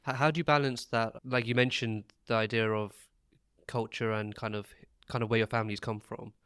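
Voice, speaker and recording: A man's voice: lowish voice, little pitch movement, brisk, a bit bright, clean tone, dry recording, clean studio sound. A man hosting an interview podcast, asking questions in a hesitant way with many restarts. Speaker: podcast host. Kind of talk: interview conversation.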